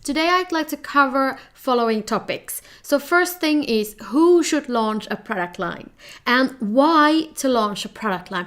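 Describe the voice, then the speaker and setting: A woman's voice: fairly high pitch, a bit bright. Finnish-accented woman presenting a workshop. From Finland.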